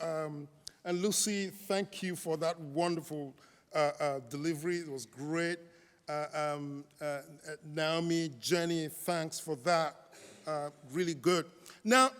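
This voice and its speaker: Nigerian-accented middle-aged man, high, unhurried. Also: a bit bright. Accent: Nigerian with some London. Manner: many fillers, repeats, quotes scripture. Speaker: Baptist minister speaking at a conference. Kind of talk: conference talk. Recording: reverberant hall.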